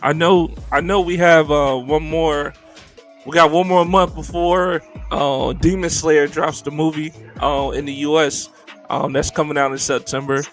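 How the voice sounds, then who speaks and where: Laid-back gravelly male podcast host. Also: on the high side, steady tone, a little slow, fairly bright tone, soft background music. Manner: laid-back, joking, laughs often. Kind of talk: casual anime podcast chat.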